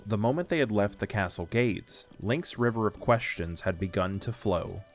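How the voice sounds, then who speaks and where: A man's voice: on the low side, lively intonation, moderate pace, faint music behind. A man reading scripted narration for an audio drama, telling a story in the third person.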